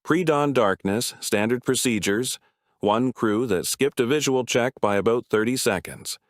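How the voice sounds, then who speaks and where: A man delivers scripted documentary narration at a medium pitch. He is serious and measured, setting out short, weighted phrases one after another. The sound is dry, with no room around the voice. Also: no echo.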